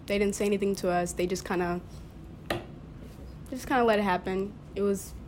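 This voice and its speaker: A woman's voice: medium pitch, steady tone, fairly quick. Teenage female student, conversational, youthful and filler-heavy, recorded with background noise. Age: teens. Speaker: high school theater student, podcast guest. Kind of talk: casual conversational storytelling. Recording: clear background noise, unpolished room recording.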